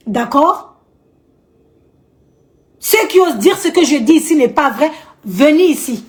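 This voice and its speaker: An outspoken woman from Madagascar with a Malagasy accent, talking indignantly to camera in her vlog and repeating points for emphasis. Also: high voice. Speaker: vlogger.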